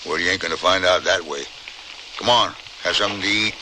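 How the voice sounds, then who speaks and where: An actor playing a wounded, wry and kindly 61-year-old frontier uncle, with a rural Western American accent in a radio drama. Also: male voice, low voice, brisk, lightly acted. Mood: coaxing. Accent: rural American West. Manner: folksy, chuckling, homespun phrasing. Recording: noisy old radio broadcast recording.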